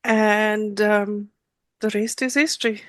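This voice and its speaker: A middle-aged South African woman tells her story as a guest in an interview. Her voice is bright and clear-cut, at a medium pitch for a woman. The sound is dry and close, and her delivery is conversational. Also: steady tone, slow pace, dry recording.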